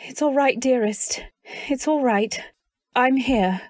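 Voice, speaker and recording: A woman's voice: high-pitched, takes it slowly, darkish tone, no room sound, studio quality. A woman playing a fiercely loyal, protective wife in a radio drama, speaking earnestly and with dramatic emphasis. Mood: tender, insistent. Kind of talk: old-time radio drama acting with first-person narration.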